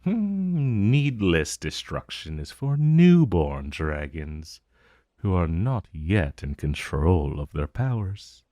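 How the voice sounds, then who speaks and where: A man's voice: low voice, big pitch swings, slow, warm tone, no room sound, studio quality, exaggerated voice. A man voicing an ancient dragon in a fantasy roleplay monologue, urbane and amused, savoring his words with veiled menace. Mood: teasing menace. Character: ancient male dragon, urbane, intrigued, vaguely menacing familiar.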